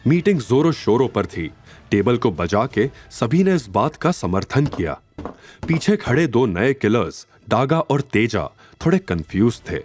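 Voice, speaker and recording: A man's voice: mid-range voice, big pitch swings, a little slow, darkish tone. A man narrating a scripted audio drama in a dramatic storytelling style.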